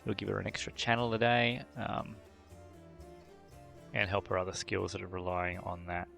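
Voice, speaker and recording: A man hosting a game video, thinking aloud through character choices with frequent fillers and throat clearing. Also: deep voice, little pitch movement, normal speed, fairly bright tone, faint music behind. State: voice a bit worn from a long previous session. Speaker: gameplay channel host. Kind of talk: casual gameplay commentary.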